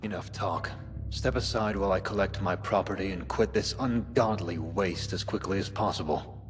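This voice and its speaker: A man playing a cold, domineering zealot keeper in a video game, speaking in a formal, measured, menacing manner. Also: deep voice, close to an everyday voice. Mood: cold, scornful. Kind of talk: dramatic video game dialogue. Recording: long reverb, loud music behind.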